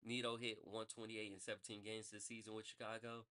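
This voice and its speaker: Man, sports podcast host, casual rundown, rambling, self-correcting, low voice. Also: little pitch movement, brisk, sharp tone. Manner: loose, rambling, corrects himself mid-thought.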